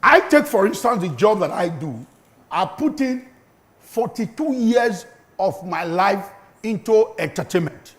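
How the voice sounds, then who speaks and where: A film producer in his fifties, a guest on a talk show, speaking earnestly and deliberately. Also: high voice, lively intonation. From Nigeria. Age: 50s. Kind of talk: panel talk show discussion.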